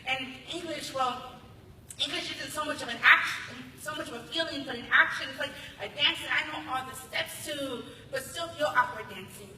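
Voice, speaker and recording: An American woman performing spoken word poetry live with expressive, emphatic delivery into a stage microphone. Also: sits high, moderate pace. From Los Angeles, United States. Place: large hall at a conference ceremony. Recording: echoey hall, distant, faint noise.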